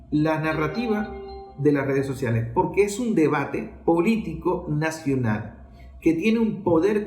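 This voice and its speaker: A man's voice: a little high, a little mellow. Venezuelan man speaking in an echoey room. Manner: rhetorical, poses questions and answers them. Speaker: journalist giving a political talk. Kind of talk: political commentary speech.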